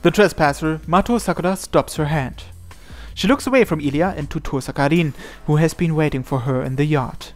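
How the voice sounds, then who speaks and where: A man's voice: fairly high pitch, a little fast. A man reading a visual novel aloud as dramatic scripted narration. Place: indoors, voice-over on game playthrough. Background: game music.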